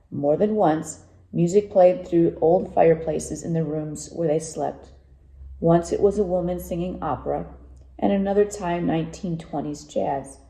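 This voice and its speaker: A woman reads a true ghost story aloud as an author presenting to young readers. Her voice is low and very dark and soft-edged, with plenty of creak. The recording has a clear roomy echo.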